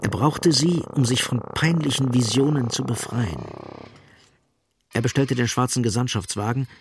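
A man narrating a literary radio play dramatically, in terse, emphatic phrases with a gravelly voice. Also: mid-range voice, moderate pace, slight background noise. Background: music.

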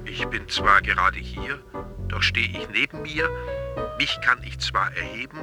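A man's voice: fairly low pitch, measured pace, music in the background. An actor playing a whimsical father leaving declaimed messages on an answering machine in a radio drama. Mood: playfully declaiming.

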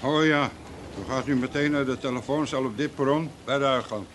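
A man's voice: medium pitch, a little fast, a bit bright, lightly acted. An actor in a Dutch radio play, playing a curt, impatient henchman of a kidnapper. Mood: curt, threatening. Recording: noisy old radio play, distant sound.

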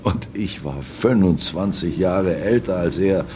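A man's voice: sits low, measured pace, background noise. An actor playing an older merchant seaman in a radio play, ruminating and reminiscing in grief over his lost captain. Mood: mournful reminiscing. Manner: ruminative, reminiscing, repeats himself. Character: brooding old merchant seaman, loyal, grieving his captain. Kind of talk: radio play monologue, storytelling.

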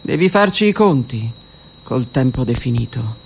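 A woman performing a dramatic first-person monologue in a deliberate, theatrical manner with weighted pauses. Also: very deep voice, expressive, creaky, slight background noise. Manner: theatrical, deliberate, with pauses and hushed near-whispered phrases. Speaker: theatre performer and prison drama workshop leader.

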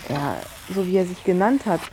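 A woman's voice: fairly low pitch, lively intonation, moderate pace, warm tone, a little hiss or hum. A woman explaining things in casual talk, thoughtfully searching for her words. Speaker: interviewee talking about manga. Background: music.

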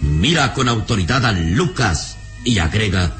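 A man's voice: lowish voice, lively intonation, measured pace, fairly bright tone. A man narrating a radio serial in a dramatic, scripted storytelling style. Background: music.

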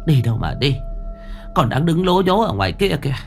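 A shrill older woman barks threats and curses in a high, piercing voice that lurches all over the scale, as a male storyteller puts her on. She is bullying and hot-tempered, the tyrant of a ghost story. A soft band of music runs underneath. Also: sing-song, fairly quick, vocal fry. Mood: furious. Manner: scolding, barking threats.

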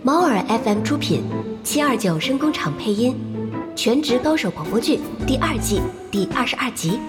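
A woman's voice: on the high side, sing-song, normal speed, over loud music. A woman reading scripted programme credits as an announcer.

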